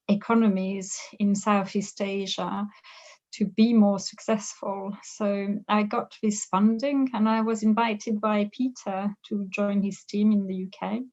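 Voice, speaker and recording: A middle-aged woman from France with a French accent, a business professor answering interview questions thoughtfully, pausing often. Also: medium pitch, mellow, highs cut off. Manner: thoughtful, with frequent hesitations and restarts.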